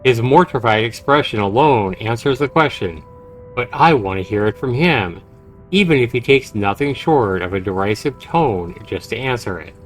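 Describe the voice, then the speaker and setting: A man livestreaming a game, commenting and reading visual novel text aloud in an expressive, dramatic way. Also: medium pitch, moderate pace, soft background music. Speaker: game streamer.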